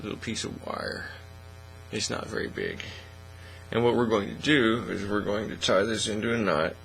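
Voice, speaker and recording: A middle-aged man giving a casual, folksy, unhurried how-to demonstration at an indoor hobby bench. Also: fairly low pitch, lively intonation, vocal fry, a bit bright, low-quality recording. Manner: folksy, unhurried step-by-step talk. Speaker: hobby craft tutorial host. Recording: faint mains hum and noise.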